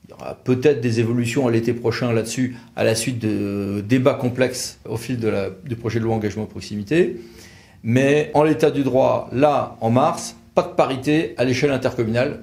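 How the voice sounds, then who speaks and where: A man with a French accent from France speaks at an average male pitch. He delivers an educational explainer like a lawyer teaching an audience on video, conversational yet didactic, stressing his key words. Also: darkish tone. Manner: didactic, conversational, emphatic, acting out examples. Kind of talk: educational legal explainer to camera.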